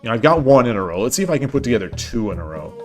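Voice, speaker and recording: A man streaming an online board game, casually thinking aloud in a low-key way, often repeating himself as he plays. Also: big pitch swings, rapid-fire, music in the background. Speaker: streamer playing online shogi. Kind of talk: casual live-stream commentary.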